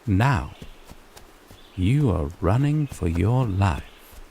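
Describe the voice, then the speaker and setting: A man delivers dramatic fantasy narration at a very drawn-out pace. His voice is fairly low and mellow, over faint outdoor ambience. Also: very animated, gravelly, slight background noise.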